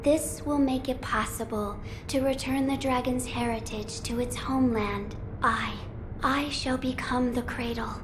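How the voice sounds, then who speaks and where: A woman playing a solemn, gentle young divine girl in a video game, speaking in a measured, formal, archaic way. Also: high voice, fairly even intonation. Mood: grave, resolved. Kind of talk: video game cutscene acting. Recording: clear background ambience noise.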